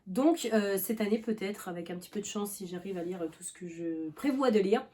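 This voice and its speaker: Young woman, book vlogger, mellow tone, rambling casual chat, fillers, occasional cough. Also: mid-range voice, a little fast. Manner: chatty, rambling, many fillers and restarts. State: coughing now and then.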